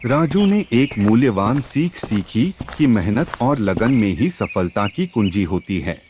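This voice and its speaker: A man's voice: on the low side, a little mellow, a little hiss or hum. A man narrating a scripted moral story for children.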